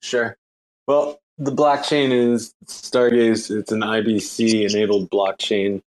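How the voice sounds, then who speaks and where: Casual man with a lowish voice. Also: little pitch movement, slow pace, rough recording. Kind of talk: casual explanation in a group chat.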